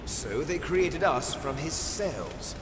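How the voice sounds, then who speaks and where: Bitter, theatrical game villain, echoing. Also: male voice, expressive, slow. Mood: grave, revealing. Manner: grandiose, menacing, resentful expository delivery. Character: bitter, theatrical villain, male clone of a legendary soldier. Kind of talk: dramatic video game voice acting. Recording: echoey hall reverb over loud rumbling noise.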